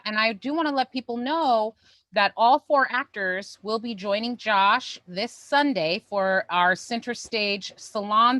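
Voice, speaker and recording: A woman's voice: mid-range voice, a bit bright, no room sound. A woman hosting a show, making casual announcements and introductions. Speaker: show host.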